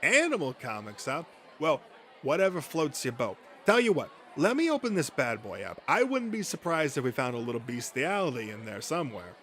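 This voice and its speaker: A man's voice: medium pitch, very animated, a little fast, a bit bright. A man voicing a pushy, sleazy comic book dealer in a comic dub, rolling through his sales patter with relish. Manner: salesman patter, relishing each item in lists. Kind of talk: comic dub character acting.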